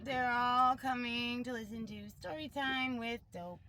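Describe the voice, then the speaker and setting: A woman's voice: a little high, measured pace, sharp tone, slight background noise. A woman talking casually and informally, telling an improvised story in a rambling way with plenty of filler "like".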